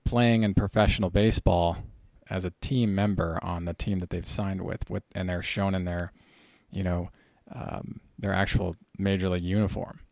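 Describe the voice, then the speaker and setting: A man's voice: sits low, fairly even intonation, normal speed, vocal fry, hardly any pauses, a little mellow, dry recording, studio quality. A man hosting a casual hobby podcast, talking in a conversational, explanatory way. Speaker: podcast host.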